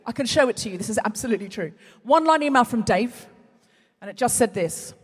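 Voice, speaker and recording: A woman's voice: a little high, animated, fast talker, slightly rough. A woman hosting a live comedy show, telling dry, self-mocking stories to a big audience. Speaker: podcast host. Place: large concert hall before an audience. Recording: live hall recording with long reverb.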